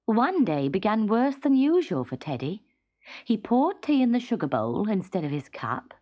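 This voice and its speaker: Animated British woman storyteller. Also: mid-range voice, muffled recording. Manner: expressive, deliberate storytelling. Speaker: storyteller reading a children's story. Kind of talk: scripted children's story narration.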